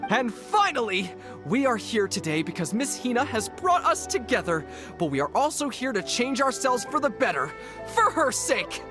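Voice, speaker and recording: A woman's voice: on the high side, very animated, moderate pace, music in the background. A woman playing a devoted fan club leader in a video game, addressing people in a solemn, rousing, speech-like way. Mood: solemn, rallying.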